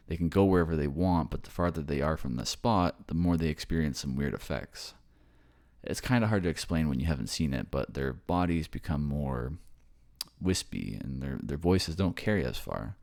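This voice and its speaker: A man's voice: a bass voice, expressive, moderate pace, creaky, a little mellow, full character voice. A man playing an affectionate, reassuring boyfriend from a ghost family in a casual ASMR-style roleplay. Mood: tender, explaining.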